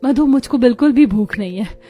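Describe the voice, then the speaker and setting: A woman's voice: fairly high pitch, expressive, fairly quick, warm tone, faint music behind, lightly acted. A woman voicing a poor, hardworking, tender young mother who sells momos in a cartoon story.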